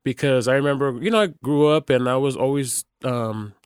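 Slangy man podcast host, smooth, dry sound. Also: mid-range voice, brisk, dry recording. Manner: slangy, emphatic, often seeks agreement. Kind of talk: casual chat.

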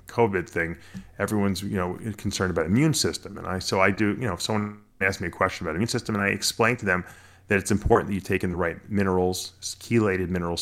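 A man's voice: low voice, fairly bright tone. A middle-aged American man talking casually as an interview guest, explaining things in an emphatic, talkative way with repeated phrases. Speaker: podcast guest, bodybuilder and snake breeder.

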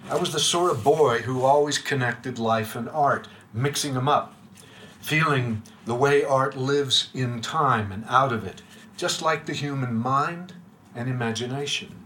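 An older man reads a reflective talk aloud in a measured, literary way. His voice is bright and forward, and it sinks softly at the ends of lines. A faint hum sits behind him. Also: medium pitch. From United States. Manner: measured and literary, dropping quiet at line ends. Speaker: speaker giving a lecture. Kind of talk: reading a prepared reflective talk. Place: room with a live audience.

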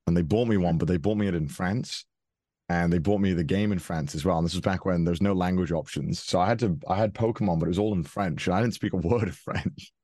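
A young man with a very deep voice talks casually as an interview guest. He stumbles and restarts often and laughs easily. The recording is close and dry. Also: quick pace, dark tone, no echo. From Wales. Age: 20s. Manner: hesitant, many restarts and fillers, laughs often.

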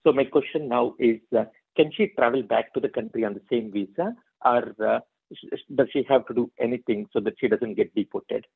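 A man's voice: mid-range voice, brisk, gravelly, breathy edge. A man calling in for advice, explaining his case and asking questions. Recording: muffled narrow phone line.

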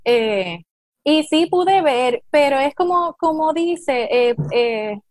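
A Puerto Rican woman talks in a loose, hesitant way, trailing off and filling gaps with little sounds. Her voice sits a bit high and the recording is dry and close. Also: lively intonation, slow, a little mellow, dry recording. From Puerto Rico. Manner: hesitant, many fillers. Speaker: guest. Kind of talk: casual chat.

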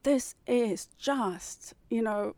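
A woman's voice: fairly high pitch, very slow, darkish tone, no room sound. A woman whose first language is German, a publisher and novelist, answering interview questions in a thoughtful way, with fillers and restarts.

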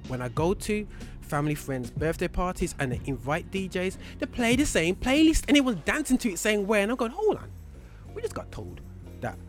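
A man's voice: high voice, fairly quick. A man hosting a gospel music show, chatting casually and rambling with lots of restarts. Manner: animated, rambling with many restarts. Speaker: radio show host. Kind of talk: casual studio chat.

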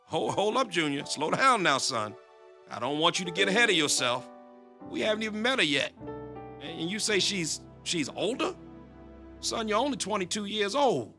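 A blustering, easily riled pastor father, played by a man, scolding in a high, piercing voice. He gets exasperated fast and lectures hard, with faint music underneath. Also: very animated, bright, forceful tone, exaggerated voice. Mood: stern.

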